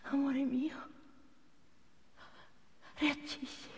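Wounded mum in labour, high slow voice, emotional and defiant, stage drama. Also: female voice, little pitch movement, heavily acted. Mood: grave, urging. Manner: pleading, emotional, colloquial. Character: wounded working-class mother in labour, desperate, tender, defiant. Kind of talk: live theatrical monologue. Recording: reverberant theatre hall.

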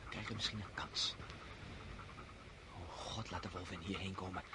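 A young actor playing an eager young nobleman on a wolf hunt, excitable and swinging from hushed to shouting, in a hissy vintage radio play. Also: sits low, moderate pace, lightly acted. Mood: hushed hopeful. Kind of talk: vintage radio drama acting.

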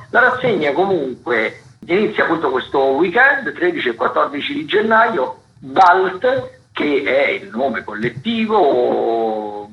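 A man's voice: mid-range voice, dull audio. A man giving a casual, chatty rundown of theatre events as a radio critic, often wandering into playful asides.

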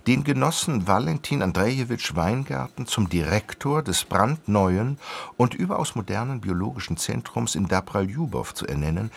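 A wry storyteller narrates a radio drama. His voice is low and gravelly, moving in animated swings of pitch. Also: normal speed, heavily acted. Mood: wry, expository. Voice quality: gravelly, raspy.

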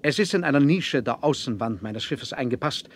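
An actor playing a proud, mysterious submarine captain in an adventure radio play, speaking formally and politely with self-assurance. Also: male voice, mid-range voice, animated, moderate pace. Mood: courteous, inviting. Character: courteous, proud, mysterious male submarine captain, genius and host. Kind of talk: 1970s German adventure radio play acting. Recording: clearly noisy vinyl record transfer.

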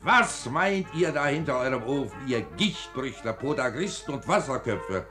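A man's voice: medium pitch, animated, normal speed, bright, forceful tone. A man playing a hearty, mocking storyteller in a 1940s German radio drama, with theatrical, exclamatory delivery. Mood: boisterous, mocking. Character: hearty, mocking male storyteller rousing his listeners. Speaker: narrator in a radio play. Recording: 1940s studio radio recording with music.

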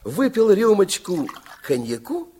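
A man's voice: sits high, very animated, a little slow, dark tone, slightly rough, exaggerated voice. A man playing a playful fairy-tale narrator in an old radio play, reading scripted storybook narration. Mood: playful, wry. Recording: old radio drama with music.

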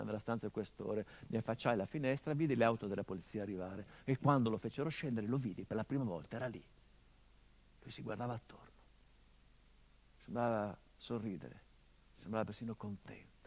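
A grieving son. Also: male voice, on the low side, vocal fry, breathy edge. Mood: grave. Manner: measured, grave, introspective delivery. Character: grieving adult son of a murdered father. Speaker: stage actor in a monologue. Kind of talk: theatrical monologue.

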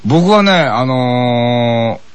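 A man with a hoarse, worn voice, a voice actor guest trading casual jokes on a radio show. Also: mid-range voice, animated, extremely slow. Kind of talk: casual comic banter.

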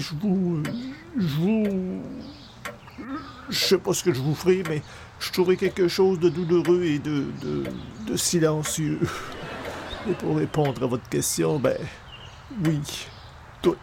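A man playing a hungover, irritable newspaper columnist in a radio comedy, pained and halting. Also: fairly high pitch, vocal fry, background noise. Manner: pained, halting, pleading for quiet. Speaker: actor in an audio comedy. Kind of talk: comedy in the manner of old radio shows.

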